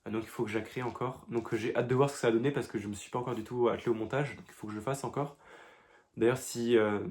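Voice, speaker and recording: A man's voice: medium pitch, fairly even intonation, very fast, a little mellow. A young man talking casually to his phone camera, rambling with many fillers and restarts, stuffy from a cold. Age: young adult. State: congested with a cold. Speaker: vlogger. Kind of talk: casual vlog diary. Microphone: phone held facing him.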